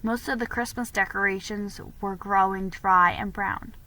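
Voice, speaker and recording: A woman giving an expressive, deliberate dramatic reading of a children's story. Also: medium pitch, no echo, low-quality recording. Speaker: reader.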